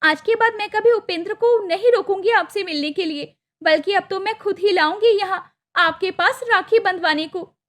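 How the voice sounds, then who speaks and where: A woman voicing a sharp-tongued young wife in a cartoon moral story, with a curt, emphatic manner. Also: very high-pitched, normal speed, pitched above the performer's own voice. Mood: remorseful. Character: sharp-tongued young wife, reluctant about her sister-in-law.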